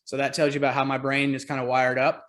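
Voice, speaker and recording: A man's voice: mid-range voice, completely flat delivery, quick pace, a bit bright. A man in his forties with a Southern American accent, from Georgia, talking casually about his career in a video call interview, chatty and self-deprecating. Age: 40s. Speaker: real estate agent and team leader.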